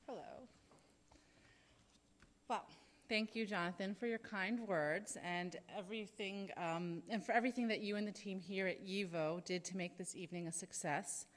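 A woman's voice: mid-range voice, normal speed. A woman in her thirties at a podium, giving prepared introductory remarks from notes with frequent fillers. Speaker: event speaker introducing a lecturer. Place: large lecture hall, at a podium. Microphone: podium microphone. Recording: reverberant hall.

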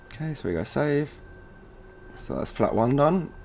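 A man presenting an informal software tutorial, casually thinking aloud as he goes step by step. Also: mid-range voice, sing-song, unhurried, vocal fry. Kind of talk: informal screen-recorded software walkthrough. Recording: noisy room with keyboard typing.

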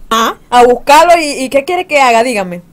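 Panamanian woman doing a prank call, high voice, complaining and pushing nonstop, all indignant. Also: expressive, normal speed. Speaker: radio show prank caller. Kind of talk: phone prank, argumentative chat.

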